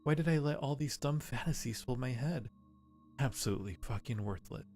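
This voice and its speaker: Game creature, female, hungry and self-loathing, anguished muttering played by a man, slight creak, music beneath. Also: mid-range voice, brisk, a little mellow, natural voice. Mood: bitter despair. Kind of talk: reading game dialogue aloud.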